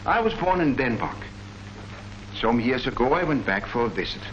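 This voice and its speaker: An actor playing an earnest army colonel who presents public health facts in a declamatory, rhetorical way, in the style of a 1940s education film. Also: male voice, mid-range voice, very animated, moderate pace, close to an everyday voice. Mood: earnest, explanatory. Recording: muffled, noisy 1940s film soundtrack.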